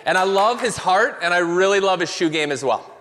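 A man's voice: high-pitched, lively intonation, brisk, fairly bright tone, slight background noise. A man hosting a church service from the stage, upbeat and enthusiastic as he introduces a guest. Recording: long reverb of a large hall.

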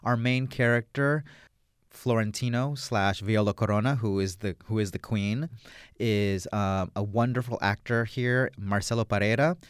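A man's voice: on the low side, steady tone, moderate pace, smooth, dry recording, clean studio sound. A man, a film director, talking through an interview in a rambling, enthusiastic way with many fillers. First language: English. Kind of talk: casual interview answers.

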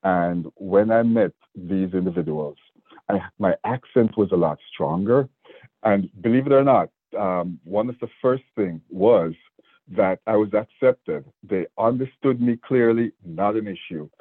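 A man in his sixties with a Jamaican accent, a state division director speaking earnestly and deliberately in a radio interview. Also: lowish voice, slightly rough, no echo. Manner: earnest, measured, with restarts. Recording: dry, phone-like radio line.

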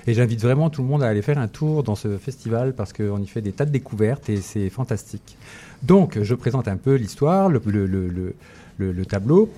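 A man's voice: on the low side, lively intonation, normal speed, warm tone. A man presenting a guest on a radio culture show, enthusiastic and upbeat. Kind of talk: radio chat.

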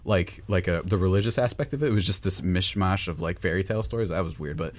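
A man's voice: low voice, fast talker, no room sound. A young man chatting on the radio, rambling and self-deprecating with lots of fillers. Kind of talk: casual radio chat.

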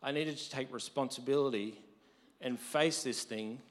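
A married man preaching a conversational sermon, his voice deep from lack of sleep, rising to emphatic quotes. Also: moderate pace. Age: adult, married. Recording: long hall reverb.

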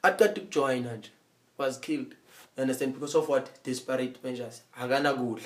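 A man with a middle-pitched voice speaking in a casual conversation. His speech is halting and repetitive, and he keeps checking that the listener is following him. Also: steady tone.

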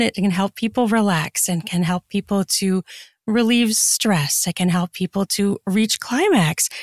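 A woman's voice: medium pitch, no echo, clean studio sound. A woman chatting casually as a podcast guest, explaining things in a rambling, conversational way. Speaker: podcast guest, erotic fiction author and podcaster. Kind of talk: casual interview chat.

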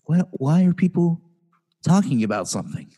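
Ranting man, high animated voice. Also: normal speed, breathy edge. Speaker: podcast co-host. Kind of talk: casual chat.

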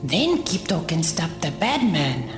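Gravelly little Ewok warrior, voiced by a woman. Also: deep voice, big pitch swings, a little slow, music in the background, heavily acted. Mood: earnest resolve. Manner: earnest, stilted third-person speech. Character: small solemn vengeful male Ewok, orphaned forest warrior. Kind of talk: audio drama acting.

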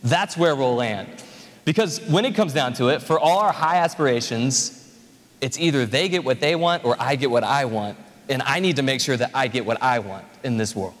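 A man's voice: mid-range voice, normal speed. A man in his twenties preaching a conversational, joking sermon to a congregation. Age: 20s. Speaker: pastor. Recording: reverberant hall.

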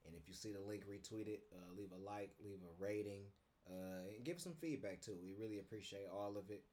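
Laid-back low-voiced male podcast host. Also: fairly quick, warm tone. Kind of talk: casual podcast chat.

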